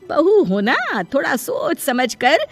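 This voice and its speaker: A woman's voice: high voice, huge pitch swings, slow, soft background music, full character voice. A woman playing a proud, domineering middle-aged mother-in-law who scolds pointedly in a cartoon family drama. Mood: scolding.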